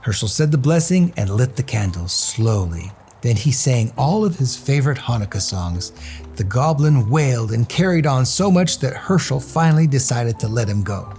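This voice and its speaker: A man telling a children's story aloud in an animated read-aloud style. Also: medium pitch, normal speed, faint music behind.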